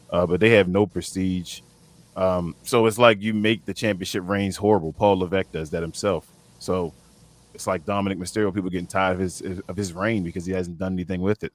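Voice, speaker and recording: Deep voiced guy, laid back rambling takes, dry sound. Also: dry recording. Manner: laid-back, rambling, many fillers and restarts. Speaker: podcast co-host. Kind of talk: casual panel chat.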